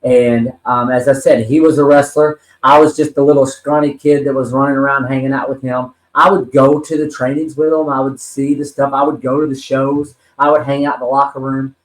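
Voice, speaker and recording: A man's voice: medium pitch, flat delivery, brisk, hardly any pauses. Earnest rambling man in an echoey room. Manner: earnest, rambling storyteller with many ums and restarts. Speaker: podcast guest, wrestler and talent manager. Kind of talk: personal story in a podcast interview.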